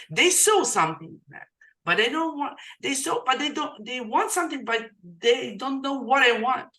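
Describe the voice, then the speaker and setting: Give me a non-native English-speaking woman coach with a bright, tense tone, halting and restarting often. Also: medium pitch, low-quality recording. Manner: halting, many restarts and fillers. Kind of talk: casual podcast conversation.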